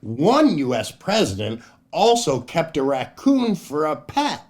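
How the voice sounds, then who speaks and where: Animated older American man, higher-pitched storyteller. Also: unhurried. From United States. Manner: theatrical, addresses young listeners with questions. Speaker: children's storyteller and show host. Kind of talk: expressive children's story read-aloud.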